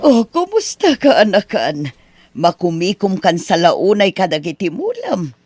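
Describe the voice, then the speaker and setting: Guy doing a high swoopy scolding auntie, disappointed, radio drama. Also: huge pitch swings, measured pace, no echo, exaggerated voice. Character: aunt Teresa, stern older woman lender.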